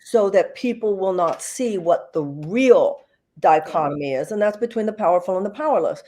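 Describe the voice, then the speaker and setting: A woman's voice: mid-range voice, expressive, normal speed, warm tone, a little hiss or hum. An older woman from the United States, speaking earnestly and reflectively as a guest on an online panel, with many fillers and restarts. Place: video call.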